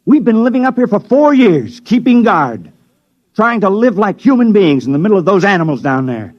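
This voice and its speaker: An actor playing a tough, blunt camp guard, gruff but earnest, in a 1950s radio drama. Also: male voice, high-pitched, big pitch swings, moderate pace, no echo. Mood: urgent, pleading. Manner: gruff, blunt, plain-spoken. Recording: dry, dull old radio recording.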